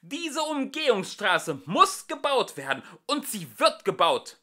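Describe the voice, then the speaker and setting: A man doing a high, fussy council official. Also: sing-song, slow pace, pushed and bright, full character voice. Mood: officious.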